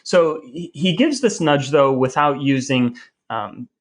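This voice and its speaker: A man's voice: medium pitch, animated, unhurried. Male co-host, thirties to forties, mid-range voice, wry and rambling, casual conversational storytelling. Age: 30s or 40s. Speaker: podcast co-host. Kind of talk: casual bantering chat.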